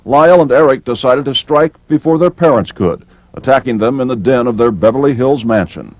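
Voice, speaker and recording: A man's voice: mid-range voice, brisk, dry recording, muffled recording. Male news reporter, mid-range voice, scripted report narration.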